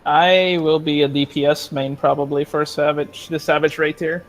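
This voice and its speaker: Higher-pitched man with a crackly voice. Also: flat delivery, moderate pace. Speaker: co-player. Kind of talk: casual gaming chat.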